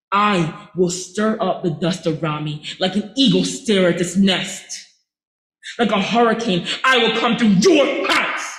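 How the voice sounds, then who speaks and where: A young man acting a fiery, defiant older man in a theatre monologue, booming with a preaching cadence. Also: pushed and bright, full character voice. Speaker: student actor doing a monologue. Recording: echoey room.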